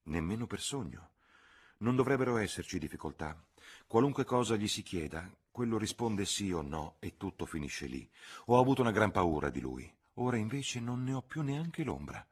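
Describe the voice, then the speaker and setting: A man's voice: fairly low pitch, sing-song, normal speed, slightly rough, pitched above the performer's own voice. A man voicing a reserved, troubled young woman in an audiobook reading. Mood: resolute. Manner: grave, hesitant, guarded.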